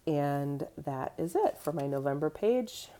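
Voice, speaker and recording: Woman, 30s, low mellow voice, getting over a cold, chatty home vlogger with plenty of fillers. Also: animated, a little slow. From United States. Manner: chatty, with fillers and small self-corrections. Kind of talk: casual show-and-tell. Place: home kitchen.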